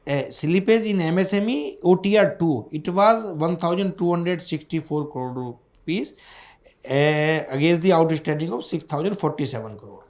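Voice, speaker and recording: Higher-pitched Indian man, emphatic and repetitive. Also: animated, normal speed, darkish tone, roomy sound. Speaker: bank executive. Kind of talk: answering analysts on an earnings call.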